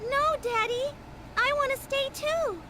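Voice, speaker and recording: An anxious little girl, pleads in a tiny, extremely high childlike voice. It is a video game cutscene with very loud noise all around her. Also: a little slow. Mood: pleading, upset.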